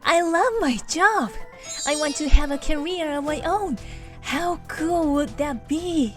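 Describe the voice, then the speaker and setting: A woman's voice: sits high, unhurried, music in the background. A woman voicing a sweet, sensitive young girlfriend in a manga dub, with a cute, emotive delivery. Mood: hopeful. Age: young adult. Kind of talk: manga dub character acting.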